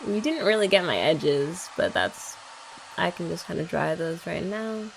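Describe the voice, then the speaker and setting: A woman's voice: on the low side, big pitch swings, unhurried, gravelly, slight background noise, low-quality recording. A young woman chatting playfully and teasingly in a girlfriend roleplay ASMR. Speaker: ASMR creator.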